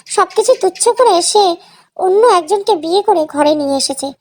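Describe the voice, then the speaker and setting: A woman with an extremely high voice narrates an emotional story in the first person. Her delivery is scripted, dramatic and sorrowful. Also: lively intonation, normal speed, a little mellow.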